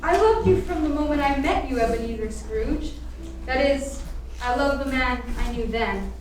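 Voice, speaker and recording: Heartbroken young sweetheart a woman acting, high voice, earnest radio drama, very reverberant distant recording. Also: normal speed, soft background music, natural voice. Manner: earnest, tender. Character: tender, heartbroken young sweetheart leaving her love. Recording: distant, very echoey room, faint noise.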